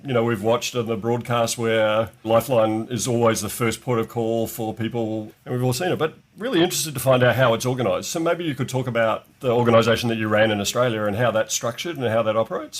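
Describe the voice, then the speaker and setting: Male podcast host, fluent and conversational, brisk pace. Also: medium pitch. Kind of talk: interview hosting.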